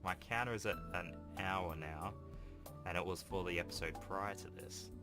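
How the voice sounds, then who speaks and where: Low voiced guy reading a visual novel, rambly casual comments, game music clearly in the background. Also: normal speed. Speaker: gaming video host. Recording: music behind the voice.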